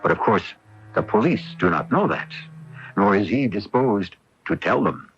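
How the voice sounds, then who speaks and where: An actor hosting a radio mystery as a wry, knowing storyteller, narrating in measured, literary phrases. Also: male voice, lowish voice, expressive. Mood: wry, ominous. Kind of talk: scripted dramatic narration, addressing the audience. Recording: noisy, muffled old AM radio broadcast.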